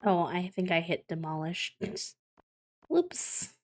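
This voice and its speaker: A woman streaming a game, chatting casually and thoughtfully with many fillers, her voice slightly croaky from just waking up. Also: lowish voice, very animated, takes it slowly. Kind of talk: casual livestream chat while playing.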